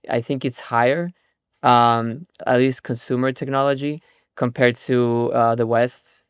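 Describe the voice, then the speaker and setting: A man in his thirties from Chile, a founder answering interview questions in a relaxed, chatty way. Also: medium pitch, clear, resonant tone, no room sound, studio quality. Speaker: guest, startup founder.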